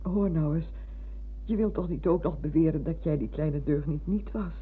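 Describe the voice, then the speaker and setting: An actress playing an older, fretful, chatty retired village schoolmistress in a radio drama, halting and repeating herself as she fusses. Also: low voice, a little hiss or hum, natural voice. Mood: fussing, scolding. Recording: muffled, humming old radio recording.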